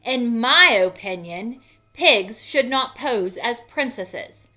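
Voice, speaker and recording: A woman's voice: on the high side, lively intonation, slow, bright, forceful tone, voice pushed up, heavily acted. A woman voicing a grumpy, haughty, disapproving female storybook character in an emphatic, scolding manner. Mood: disdainful. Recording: music under the voice.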